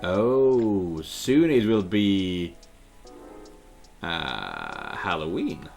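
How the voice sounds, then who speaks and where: A man's voice: sits low, very animated, extremely slow, vocal fry, a little mellow, faint music behind. A man giving casual let's play commentary, hesitant and thinking aloud as he reads game text. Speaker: video game let's player and dubber.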